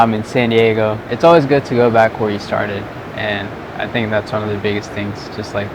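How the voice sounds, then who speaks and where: A young man from Southern California, a vlogger and photographer, chatting loosely to the camera outdoors at sunset, rambling with lots of "like"s. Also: medium pitch. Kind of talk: casual chat to camera. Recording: outdoor noise with traffic.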